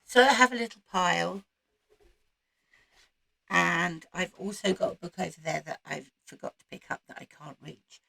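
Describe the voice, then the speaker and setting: A woman with a croaky voice, recovering from voice loss, chatting casually to camera as the host of a book channel. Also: fairly low pitch, expressive, normal speed, sharp tone. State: croaky, recovering from losing her voice. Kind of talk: casual chat to camera about reading plans.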